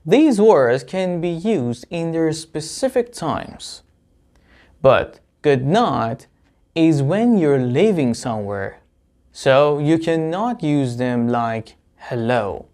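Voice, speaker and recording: A man giving an English lesson to viewers, explaining deliberately as a teacher with example phrases. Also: fairly high pitch, sing-song, darkish tone.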